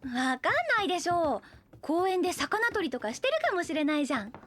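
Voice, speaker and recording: A woman's voice: high-pitched, animated, brisk, a bit bright, a little hiss or hum. A woman voicing a carefree young woman in a Japanese audio drama, easygoing and blithe in casual speech. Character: carefree, airy young woman, innocently teasing friend.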